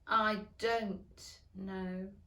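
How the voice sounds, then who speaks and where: A woman voicing a curt, contradicting caterpillar in a storybook, speaking in a slow, drawn-out, languid way. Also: medium pitch, level tone, sharp tone. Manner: drawn-out, deliberate, languid delivery. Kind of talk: storybook character reading. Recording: echoey room.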